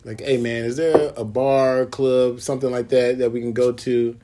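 A man's voice: mid-range voice, flat delivery, moderate pace, background noise. Laid-back man telling stories. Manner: laid-back storytelling, acts out quotes. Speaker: podcast co-host. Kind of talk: casual chat.

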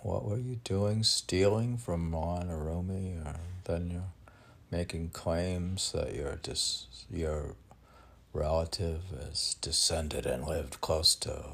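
A man's voice: low voice, takes it slowly, gravelly, a bit bright. A man reading and discussing his own poetry as a podcast, rambling and questioning himself aloud. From United States. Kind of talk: rambling self-questioning monologue. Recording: noisy room with air-conditioner hum.

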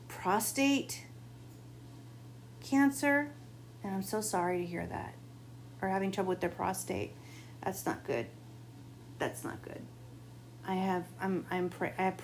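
A woman doing a late-night tarot reading, talking in a rambling, confiding way, her voice gone a bit raspy. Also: on the low side, measured pace, pauses often, slight background noise. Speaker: tarot reader. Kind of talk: late-night spiritual monologue.

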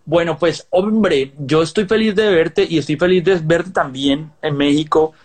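A man's voice: on the high side, unhurried. A man hosting a podcast interview, chatty and joking in a casual back-and-forth. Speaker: podcast host. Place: Los Angeles.

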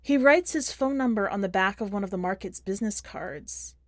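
A woman reading a first-person short story in a measured, expressive audiobook style. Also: mid-range voice, no room sound. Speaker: podcast host and fiction reader.

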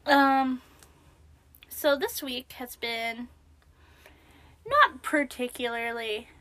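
A young woman co-hosting a podcast, chatting casually with a naturally high voice and a self-deprecating manner, sometimes dropping to a whisper. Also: big pitch swings, drawn-out words, bright, forceful tone. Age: young adult. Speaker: podcast co-host. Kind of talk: casual chat.

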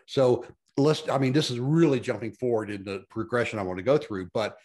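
Casting: male podcast host, excitable, loose slangy questions that wander before landing. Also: medium pitch, fairly quick, slightly rough. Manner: enthusiastic, slangy, long winding questions. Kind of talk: casual interview questions.

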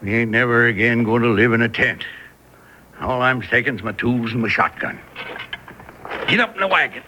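A man's voice: medium pitch, normal speed, long pauses, pushed and bright, lightly acted. A man playing a scheming, pious-talking swindler in a radio western, bossy and folksy, with a rural Western American accent. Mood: smug command. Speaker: actor. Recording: noisy vintage radio broadcast.

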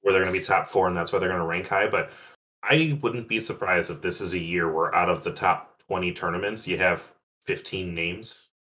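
A man's voice: deep voice, fairly even intonation, fairly quick, bright, forceful tone. A man co-hosting a podcast, chatting casually and running through lists with a joking manner. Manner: joking, runs the show's segments. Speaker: podcast co-host. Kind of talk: casual chat.

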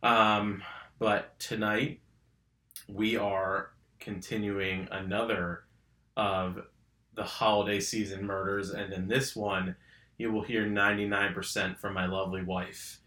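A man's voice: on the low side, level tone, slow pace, bright, forceful tone, reverberant. A young man co-hosting a true crime podcast, talking casually and hesitantly with lots of ums. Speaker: podcast co-host.

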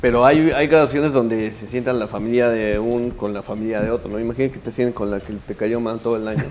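Male panelist, mid-pitched, conversational, slight background noise. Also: fairly even intonation, fast talker, a little mellow. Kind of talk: casual chat.

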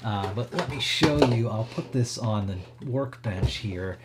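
A man with a slightly husky voice hosting a casual live tech demo, thinking aloud in a rambling, conversational way. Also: on the low side, expressive, a little slow, very noisy. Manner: conversational, rambling, frequent hedging asides. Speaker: livestream host demonstrating electronics projects.

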